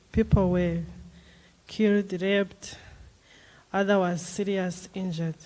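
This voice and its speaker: Slow, mellow-voiced woman from Rwanda. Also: medium pitch, rough recording. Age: 30s. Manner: slow, careful speech with small grammar slips. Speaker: guest speaker. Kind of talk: personal testimony speech.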